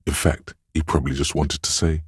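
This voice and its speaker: A man playing the gentle, perceptive inner voice of empathy in a role-playing game, narrating in a measured, intimate, solemn and literary way. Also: flat delivery, dry recording, studio quality, exaggerated voice. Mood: tender, perceptive. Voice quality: very deep, gravelly, husky.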